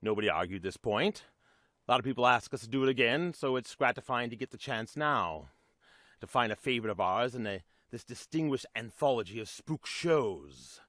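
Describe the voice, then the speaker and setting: A man's voice: mid-range voice, animated, a bit bright, no room sound, studio quality, lightly acted. Man acting as grandiloquent vintage radio host. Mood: genial, measured. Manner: droll, grandiloquent, deliberate. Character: urbane theatrical male radio host introducing ghost stories. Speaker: program host. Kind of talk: 1940s radio introduction.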